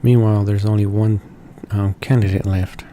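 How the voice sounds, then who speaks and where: A man reading visual novel text aloud in a halting way, stumbling over words. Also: fairly low pitch, flat delivery, warm tone, slight background noise. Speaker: let's play reader. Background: game music.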